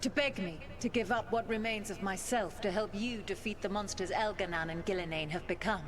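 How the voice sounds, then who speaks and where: A woman's voice: mid-range voice, brisk, close to an everyday voice. A woman voicing a proud, bitter ancient goddess spirit in a video game, speaking formally and with command. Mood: imperious, threatening. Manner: haughty, formal, commanding speech. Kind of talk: dramatic video game acting.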